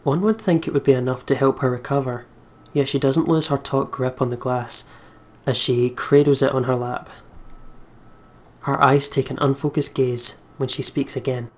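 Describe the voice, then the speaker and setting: A man's voice: mid-range voice, steady tone, moderate pace, darkish tone. A man reading visual novel narration aloud as a let's player.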